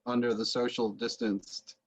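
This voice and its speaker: Halting, casual man interviewing on a video call. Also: medium pitch, flat delivery, slightly rough. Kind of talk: casual conversational questioning. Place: book-lined room at home.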